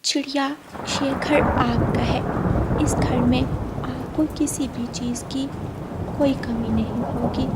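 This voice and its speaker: A woman voicing a gracious, grateful fairy in a cartoon fable, gentle and solemn. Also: fairly high pitch, very noisy. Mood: kindly. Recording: loud rain and thunder behind.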